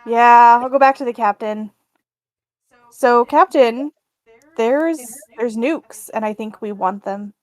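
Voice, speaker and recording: A woman's voice: a little high, slow pace, no room sound. A woman speaking casually as a player in a tabletop roleplaying game, improvising her character's actions.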